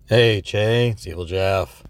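Reflective American man, lowish voice. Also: clear, resonant tone, dry recording. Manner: halting, reflective. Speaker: podcast call-in listener. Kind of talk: casual voice message.

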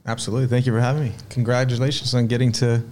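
A man in his late thirties, originally from Sweden, telling his story as a restaurant owner in a relaxed, reflective way. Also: medium pitch, very fast. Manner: relaxed, reflective storytelling with frequent "uh" and repeated words. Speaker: restaurant owner, podcast guest. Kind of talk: casual interview conversation.

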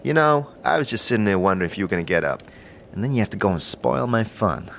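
A man voicing a sardonic male companion who teases in quick banter, with a low voice. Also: animated, gravelly, a bit bright, a little hiss or hum. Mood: mocking.

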